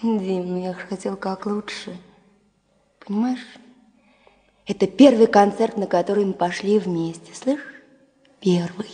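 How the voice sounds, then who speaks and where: An actress playing an affectionate, playful woman in love in a Soviet radio play, pleading softly and often breathily. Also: medium pitch, a little slow, long pauses, lightly acted. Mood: tender. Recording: 1970 radio play, long reverb.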